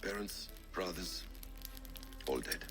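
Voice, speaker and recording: An actor playing a grave, grieving assassin in a video game, speaking in a measured, solemn way. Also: male voice, low voice, lively intonation, music in the background, exaggerated voice. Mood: reflective, wistful. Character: grave, grieving assassin man, a bereaved father. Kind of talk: dramatic video game acting.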